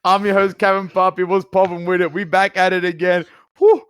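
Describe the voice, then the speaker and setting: A man hosting a casual podcast, energetic and slangy, laughing often as he talks over an online call. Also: sits high, brisk, clear, resonant tone, no room sound. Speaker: podcast host. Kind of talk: casual chat.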